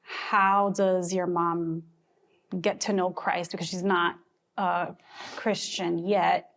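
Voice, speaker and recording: A woman co-hosting a faith podcast, gently prompting her co-host with questions in casual chat. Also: lowish voice, level tone, takes it slowly.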